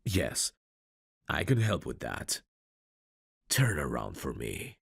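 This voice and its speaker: Male actor as courteous mafia groom, extremely low husky voice, unhurried, tender, flirtatious, intimate ASMR romance roleplay. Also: wildly swooping pitch, no echo, clean studio sound, heavily acted. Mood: amused, shy. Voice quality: husky, gravelly. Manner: soft-spoken, gentle, flirtatious. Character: courteous, tender mafia bridegroom in arranged marriage.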